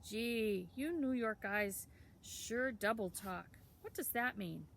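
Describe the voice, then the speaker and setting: A woman's voice: a little high, takes it slowly, creaky, a bit bright, slight background noise, heavily acted. A woman voicing a cocky, teasing teenage town boy who talks in a brash, slangy drawl.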